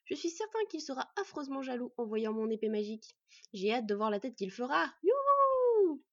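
A woman's voice: on the high side, animated, fairly quick, no room sound. A woman voicing a cheerful, cocky and mocking teenage half-elf boy in a storybook, eager and taunting. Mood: excited. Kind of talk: storybook character voice. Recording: dull, slightly distant microphone.

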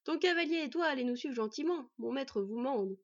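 A woman's voice: sits high, animated, fairly quick, dry recording, voice pushed up. A woman voicing a brutish male bandit chief in a storybook, mocking and threatening. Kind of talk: storybook villain voice. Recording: dull, slightly distant microphone.

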